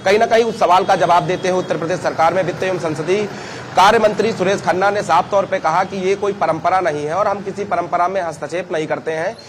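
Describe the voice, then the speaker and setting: Male field reporter, North Indian accent, high pitch, halting live-report delivery, faint noise behind. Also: steady tone.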